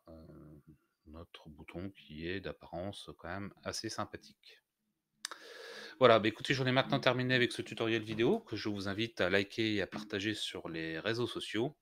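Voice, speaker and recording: A man narrating an unscripted software tutorial, explaining step by step in a hesitant way. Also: sits low, dry recording, clean studio sound. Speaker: tutorial host.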